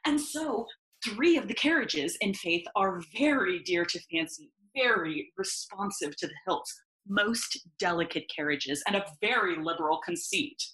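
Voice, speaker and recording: A woman's voice: medium pitch, expressive, a little slow, a bit bright, rough recording. A woman playing a foppish, fawning courtier in a Shakespeare audio play, with gushing, affected, flowery delivery. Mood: pompous, eager. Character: Osric, foppish, fawning, giggly royal courtier.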